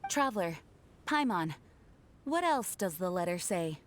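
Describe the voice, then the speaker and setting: A woman's voice: fairly low pitch, big pitch swings, unhurried, a little hiss or hum. A woman playing an earnest, dutiful young knight commander in a video game, speaking formally and politely. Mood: concerned.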